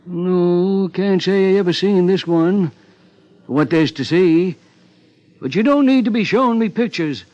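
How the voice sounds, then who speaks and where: Fussy rustic American sheriff, high voice, folksy, rambling, hesitant, dull vintage radio sound. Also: male voice, a little slow, a little mellow. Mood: folksy, musing. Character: fussy, folksy small-town male sheriff-barber. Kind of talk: 1950s radio character acting. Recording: dull old 1950s radio broadcast recording.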